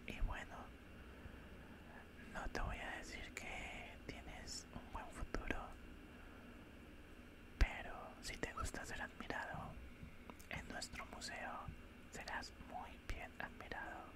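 A man voicing a cold, condescending alien scientist in a whispered ASMR roleplay, speaking in a calm, clinical, breathy whisper close to the microphone. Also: natural voice. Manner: slow, calm, clinical, faintly menacing. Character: cold, condescending male alien scientist examining a human specimen. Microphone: close-up studio microphone.

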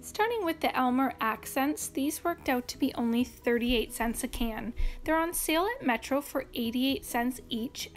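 A high-pitched woman talks through her grocery haul in a brisk, upbeat voice-over, rattling off prices, coupons and cashback. Soft music plays faintly under her voice. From Canada. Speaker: couponing channel host. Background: faint background music.

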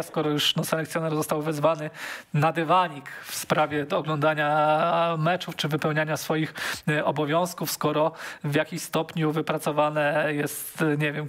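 A man's voice: on the high side, a bit bright. A man in his thirties talking football as a studio pundit on a headset mic, thinking aloud with many fillers and repeated words. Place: sports TV studio.

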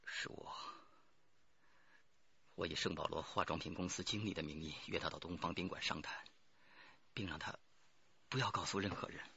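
An actor playing a weary, defeated criminal suspect confessing in a radio drama, with a husky, gravelly voice. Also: male voice, sits low, normal speed, pauses often, sharp tone, dull audio, full character voice. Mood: subdued, weary.

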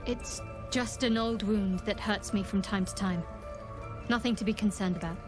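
A wry, guarded woman adventurer from a video game, voiced by an actress. Her medium-pitched voice is dry and controlled, keeping secrets. Music plays clearly behind it. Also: fairly even intonation, normal speed, natural voice. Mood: guarded calm.

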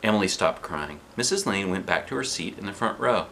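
A man's voice: low-pitched, fairly quick, slight background noise. A man in his thirties reading a children's book aloud to kids from his living room sofa, with playful asides. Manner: animated, playful character voices. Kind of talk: expressive read-aloud with asides.

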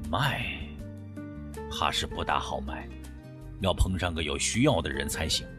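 A man voicing an older medicine teacher in an audiobook, measured and reflective, speaking in an understated, reluctant way. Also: extremely low pitch, lively intonation, over music, voice pushed down. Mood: hesitant, reflective.

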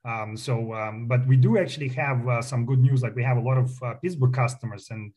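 A man's voice: mid-range voice, brisk, dark tone, slightly rough. Russian-accented man, chatty with fillers. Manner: chatty, many fillers and restarts, proud. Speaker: company founder guest. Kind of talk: casual radio interview. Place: radio studio.

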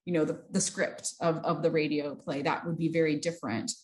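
Woman, thirties, slightly low voice, moderator, academic discussion, office video call. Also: steady tone, a little fast, darkish tone. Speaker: host, moderator. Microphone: computer mic on video call.

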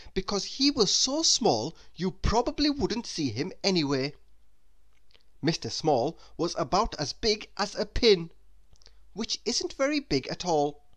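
A man reading a children's story aloud as narrator, in an expressive, deliberate way. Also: sits high. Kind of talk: scripted narration for young children.

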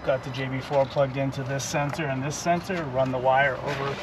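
A man's voice: medium pitch, level tone, brisk, background noise. A middle-aged man chatting casually as a guest about his car, hesitant and repeating words, recorded outdoors and in a car on an action camera. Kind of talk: casual chat. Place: outdoor parking lot, then driving a car.

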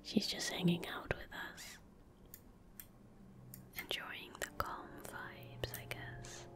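A young woman speaking calmly and gently in a soft, breathy whisper, giving casual ASMR gameplay commentary close to the microphone. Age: young adult. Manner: calm, gentle, unhurried. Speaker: ASMR gaming streamer. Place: small indoor room at a desk. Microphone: close desk microphone.

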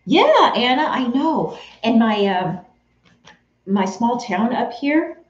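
A woman's voice: a little high, big pitch swings, slow. A woman from Texas in her forties, chatting casually with her live viewers by name while she sews at home. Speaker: craft vlogger answering live chat. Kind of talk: casual live-stream chat while sewing. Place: home sewing room, indoors. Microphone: camera on a tripod, a few feet away. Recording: roomy, slightly dull home-camera sound.

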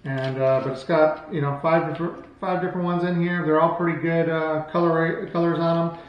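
A man's voice: fairly high pitch, flat delivery, fairly quick, dull audio. A man in his thirties talking informally and off the cuff as he shows products as a channel host, in a plainspoken, rambling way. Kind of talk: casual product showcase, unscripted vlog talk. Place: small hard-walled room at home, indoors. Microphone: camera mic across a table. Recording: very echoey room, faint background noise.

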